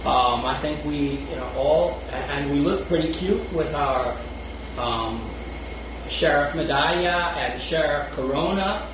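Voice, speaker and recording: A man's voice: on the high side, unhurried, distant mic. A man with a Brooklyn accent co-hosting a chat show, rambling casually with chuckles and restarts. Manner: rambling, restarts often, chuckles between thoughts. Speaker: podcast co-host. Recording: very echoey room, off-mic, noisy.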